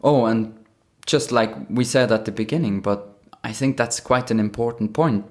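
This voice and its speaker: A man's voice: mid-range voice, fairly even intonation, moderate pace. A man with a Swiss German accent, a native Swiss German speaker co-hosting a casual podcast, thoughtful and often restarting his phrases. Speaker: podcast co-host.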